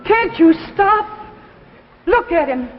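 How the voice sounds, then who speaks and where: An actress playing a protective, distraught young daughter, emotional and defiant, in 1950s radio drama style. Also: female voice, very high-pitched, expressive, slow pace, exaggerated voice. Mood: pleading, defiant. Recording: muffled old radio recording, reverberant, music behind.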